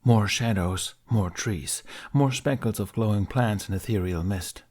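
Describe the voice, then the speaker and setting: A man reading a fan fiction aloud as an audiobook, in soft, measured storytelling. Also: lowish voice. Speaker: podfic reader.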